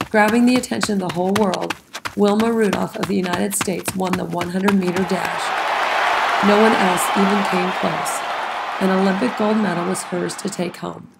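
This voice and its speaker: A woman narrating a children's story from a script with expressive, dramatic phrasing. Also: mid-range voice, creaky, heavy background noise. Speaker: children's read-aloud narrator. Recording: music behind the voice. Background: background music.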